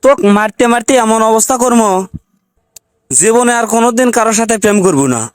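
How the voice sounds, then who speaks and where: A man's voice: very high voice, expressive, quick pace, no echo, studio quality. A man voicing an exasperated, pleading village husband in a comic cartoon, with a North Bengal accent, complaining in questions. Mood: furious. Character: exasperated, pleading village husband who turns on his wife. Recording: clearly noisy recording with clicks.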